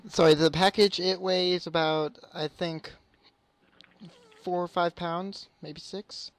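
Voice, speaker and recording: A young man on a call, speaking in a halting, apologetic way as a customer. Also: high-pitched, steady tone, no room sound. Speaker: prank caller. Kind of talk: hesitant phone conversation. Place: online voice call.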